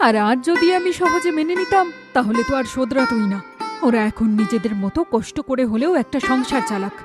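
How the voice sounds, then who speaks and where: High-voiced, reproachful village mother played by an actress. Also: fairly quick, over music, lightly acted. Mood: exasperated. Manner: emphatic, reproachful. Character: stern, scolding middle-aged village mother, secretly worried.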